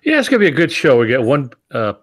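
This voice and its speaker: A man's voice: medium pitch, wildly swooping pitch, fast. An older man chatting casually as a podcast co-host. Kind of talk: casual conversation.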